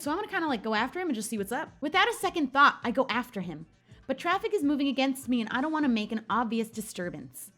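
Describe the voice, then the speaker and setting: A woman narrates a story game in a high-pitched voice with animated intonation. She is playful and switches between expressive reading and casual commentary. Music plays clearly behind her. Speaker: gaming video host. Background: background music.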